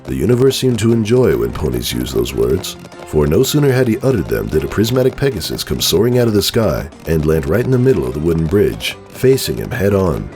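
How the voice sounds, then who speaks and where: Very deep-voiced male story narrator. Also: big pitch swings, a little fast, darkish tone, faint music behind. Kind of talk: dramatic scripted story narration.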